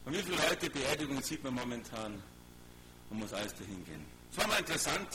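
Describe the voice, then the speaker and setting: A man's voice: medium pitch, very animated, fast, sharp tone. A middle-aged man preaching a sermon in a colloquial, exhorting way, putting rhetorical questions to his listeners and reading scripture. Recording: clearly noisy recording with hum.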